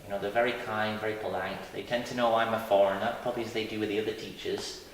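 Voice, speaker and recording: A teacher, a man with a lowish voice, chats easily and sounds friendly as he answers a question. He is recorded from well off the mic in a strongly echoing hall with a long reverb tail. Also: little pitch movement, fast. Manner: friendly, easygoing, chatty. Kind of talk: interview answers. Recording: echoey hall, mic far off.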